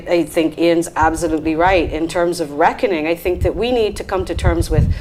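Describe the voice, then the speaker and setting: A woman's voice: fairly low pitch, darkish tone, slight background noise, low-quality recording. A woman, a panel guest making firm, argumentative points. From Bahamas. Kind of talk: discussion panel.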